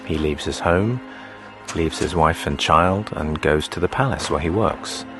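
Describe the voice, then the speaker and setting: An actor playing a grave, fatalistic storyteller, narrating a drama in a measured, ominous manner. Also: male voice, a bass voice. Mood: grave, foreboding. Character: grave, fatalistic male storyteller looking back. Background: music.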